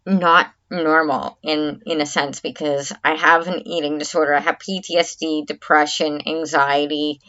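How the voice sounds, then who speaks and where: A woman speaks slowly and haltingly to her camera. She is earnest and reflective, with a low-set voice. The recording is muffled and lo-fi. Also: a bit bright. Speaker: vlogger. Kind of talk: candid talk to camera. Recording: dull lo-fi recording.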